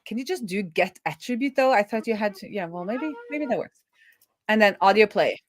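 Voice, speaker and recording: A woman talking casually as she live-codes, thinking aloud in a playful, animated manner. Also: mid-range voice, fairly quick, no echo. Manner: animated, playful, breaks into laughter and song. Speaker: live-coding streamer, web developer.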